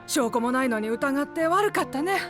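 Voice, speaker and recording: A woman's voice: sits high, expressive, normal speed, music in the background. A woman playing a cautious yet kind townswoman in an anime audio drama, speaking frankly. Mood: apologetic. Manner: plain, frank.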